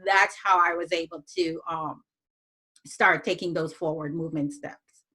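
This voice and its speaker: A woman's voice: lowish voice, fairly even intonation, unhurried, pushed and bright, slightly rough. A woman from Jamaica, a podcast guest, talking through her story in a reflective way with many fillers and restarts. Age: adult, moved abroad as young teen. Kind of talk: casual interview talk.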